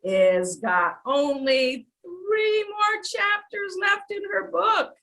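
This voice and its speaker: A woman's voice: very high-pitched, slow pace, fairly bright tone. A woman hosting a children's storytime show in an exaggerated, theatrical, sing-song manner.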